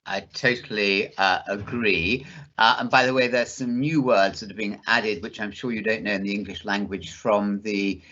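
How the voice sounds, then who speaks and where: Halting male interviewer with a bright, tense voice. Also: fairly low pitch, fairly even intonation, lo-fi sound. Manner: halting, with many hesitations and repeated words. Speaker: interviewer, university vice chancellor. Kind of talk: conversational interview. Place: study in a house.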